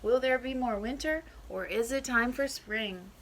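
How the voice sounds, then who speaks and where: A woman voicing an excited storybook groundhog operator who takes weather reports with brisk, perky announcing. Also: fairly high pitch.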